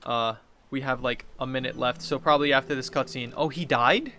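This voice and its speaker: A man's voice: medium pitch, fairly even intonation, a little fast, bright, forceful tone. A man in his thirties giving casual, slangy let's play commentary, reacting and thinking aloud as he plays. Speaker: gaming video host. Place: indoor room, seated at a computer. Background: game music.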